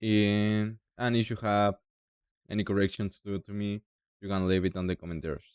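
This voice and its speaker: A young man from Mexico with a Mexican Spanish accent, speaking halting non-native English as he walks through a software tutorial step by step. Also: fairly low pitch, level tone, clean tone, no echo, studio quality. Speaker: tutorial creator. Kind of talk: screen-recorded software tutorial.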